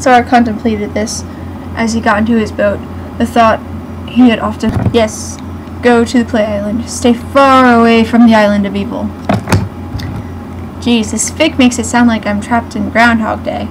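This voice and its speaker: A woman giving a mocking dramatic reading with snarky asides, halting and stumbling over the words. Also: fairly high pitch, little pitch movement. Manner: sarcastic, halting delivery with frequent stumbles. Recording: noisy home recording with clear background hum.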